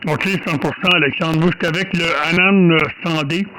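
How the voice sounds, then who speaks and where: A man from Quebec chatting casually on amateur radio, halting and repeating words, with many fillers. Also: a little high, fairly even intonation, heavy background noise. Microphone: desk microphone.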